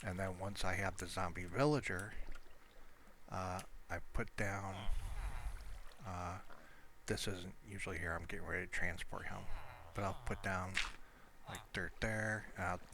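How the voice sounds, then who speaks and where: A man's voice: low-pitched, steady tone, measured pace, bright, forceful tone. A man giving casual, rambling commentary over his own video game play, speaking off the cuff. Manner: rambling, unscripted asides. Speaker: gamer narrating own gameplay. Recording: clearly noisy home recording.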